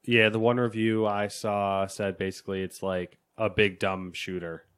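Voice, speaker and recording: A man's voice: on the low side, little pitch movement, normal speed, a bit bright, clear, resonant tone. A man co-hosting a gaming podcast in casual chat, laid-back, with short replies. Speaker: podcast co-host.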